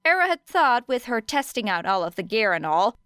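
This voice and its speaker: A woman's voice: a little high, sing-song, fairly quick, pushed and bright, smooth, no room sound, clean studio sound. A woman reading scripted fiction as an audiobook narrator. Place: close, dry recording space.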